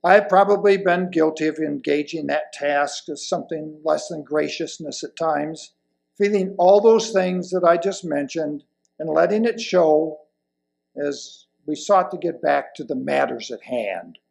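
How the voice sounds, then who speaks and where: An elderly man reading a sermon from a lectern, with deliberate, emphatic phrasing and pauses. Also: on the high side, vocal fry, a little mellow. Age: older, around 70s or 80s. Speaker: lay presenter reading a sermon. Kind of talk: sermon read from a pulpit. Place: indoors at a wooden lectern in a church hall. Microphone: lapel mic.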